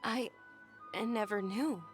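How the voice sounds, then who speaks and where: A woman voicing a gentle, polite young noblewoman in a video game. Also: mid-range voice, takes it slowly, close to an everyday voice. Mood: soft surprise. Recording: background music under the voice.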